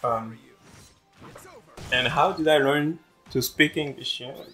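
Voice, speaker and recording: A man's voice: mid-range voice, takes it slowly, pushed and bright, soft background music. A young man whose first language is French, chatting casually and rambling over gameplay as host of a gaming channel. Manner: chatty, rambling, repeats words.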